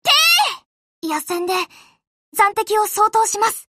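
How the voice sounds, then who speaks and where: Gentle young warship girl, anime game, very high bright voice, polite, deferential. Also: very animated, slow, a little hiss or hum, heavily acted. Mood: resolute, commanding. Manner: soft-spoken, courteous, deferential. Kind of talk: Japanese anime game voice acting.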